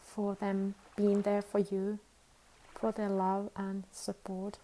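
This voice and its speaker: A woman teaches a guided meditation in a mellow, relaxed voice. She speaks very evenly and unhurriedly, leaving long pauses between soothing instructions. A faint layer of background noise sits behind her. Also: medium pitch, low-quality recording.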